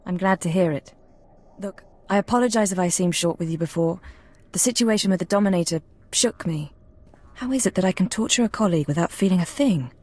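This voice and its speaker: An earnest intelligence analyst in a video game, played by a woman. She has a slightly low female voice. Her delivery is measured, formal and reserved. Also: darkish tone, close to an everyday voice. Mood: candid, subdued.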